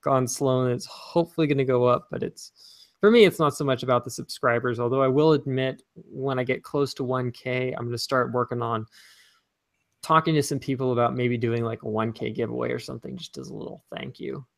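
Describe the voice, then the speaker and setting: Co-host, man, mid pitch with gravelly fry, loose rambling chat. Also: fairly even intonation, brisk. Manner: rambling, many "like" fillers. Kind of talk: casual chat.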